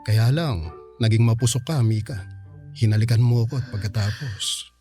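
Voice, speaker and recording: A man playing a calm, considerate young bachelor in a Filipino radio romance drama, speaking earnestly and conversationally. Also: on the low side, normal speed, soft background music, close to an everyday voice. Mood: earnest, defensive.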